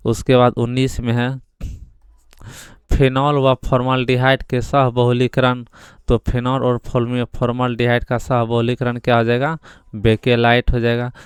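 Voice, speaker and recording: A man's voice: medium pitch, smooth, no echo, studio quality. A man teaching exam answers question by question, repeatedly asking and then answering himself. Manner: repetitive, asks questions then answers them. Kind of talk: question-by-question answer key explanation.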